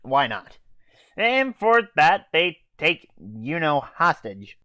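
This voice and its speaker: A man's voice: on the high side, big pitch swings, takes it slowly, sharp tone, no echo, studio quality. A teenage boy casually recapping an anime episode in an offhand, hesitant way with fillers and swearing. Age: teens. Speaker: anime reviewer.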